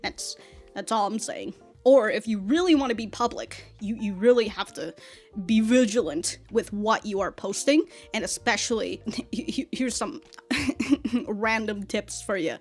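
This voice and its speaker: A woman giving casual, rambling reaction commentary in an animated, sweary manner. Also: medium pitch, a little slow, faint music behind. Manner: rambling, animated, laughs through remarks, swears. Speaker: reaction video host. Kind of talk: casual commentary on an anime episode.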